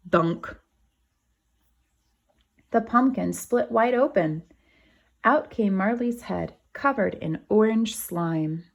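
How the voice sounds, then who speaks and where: Female kindergarten teacher for storybook read-aloud: slow delivery, plenty of vocal fry, clear explanatory asides for small children. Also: fairly low pitch. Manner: simple explanatory asides, spells out words for children. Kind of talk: animated picture-book read-aloud with asides.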